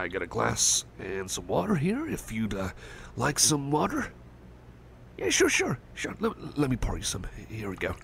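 A man with a low, gravelly voice talks softly and close to the mic. He rambles and stammers as a caring friend in a roleplay. A faint hiss sits under the voice. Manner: stammering, rambling, gentle and reassuring. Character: caring friendly male cyborg buddy. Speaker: ASMR roleplay performer. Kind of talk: soft-spoken ASMR roleplay.

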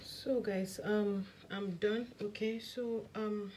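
A woman hosting a skincare tutorial, talking through each step in a soft, lowered late-night voice and often saying "okay, so". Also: steady tone, slow pace. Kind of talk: casual step-by-step demonstration. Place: indoor work table. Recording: clear background noise with clicks.

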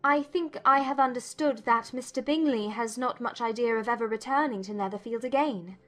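Witty, self-possessed young lady, played by an actress, lightly high-pitched, formal and teasing, period audiobook drama. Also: normal speed, fairly bright tone, faint music behind, close to an everyday voice. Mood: politely probing. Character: witty, self-possessed young English gentlewoman, playfully challenging.

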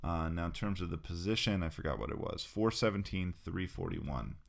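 A man narrating a screen-recorded software tutorial, explaining each step as he clicks through it in a relaxed, casual way. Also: low-pitched, a little fast, gravelly.

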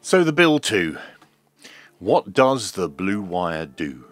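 A man giving a hands-on tech demonstration in a measured, hesitant way, as if thinking aloud. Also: low voice, very animated, a bit bright, dry recording, faint music behind. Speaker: host of a radio repair video.

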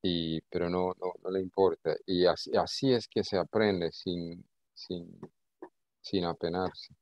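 A man teaching an informal online lesson, speaking haltingly and often repeating his words. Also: sits low, flat delivery, a little mellow, dry recording. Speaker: English teacher. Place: indoor video call. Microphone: video call. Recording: dull, lo-fi call audio.

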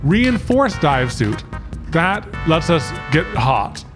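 A man in his thirties doing casual, playful, excitable gameplay commentary as a streamer, often repeating phrases, on a close desk microphone. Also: fairly high pitch, takes it slowly. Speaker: gaming streamer and co-host. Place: indoor home streaming setup. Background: game music.

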